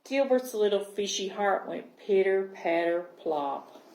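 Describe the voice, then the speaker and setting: Slow-paced woman reading a storybook, echoey. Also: medium pitch. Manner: expressive, pausing to check understanding with listeners. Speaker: children's library storyteller. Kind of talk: storybook read-aloud for young children. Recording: roomy, echoing sound.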